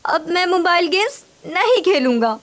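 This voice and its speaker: A woman's voice: extremely high pitch, big pitch swings, normal speed, a bit bright, no room sound, heavily acted. A woman voicing a boastful, mischievous young boy in a children's cartoon, theatrical and whiny when in trouble. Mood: remorseful.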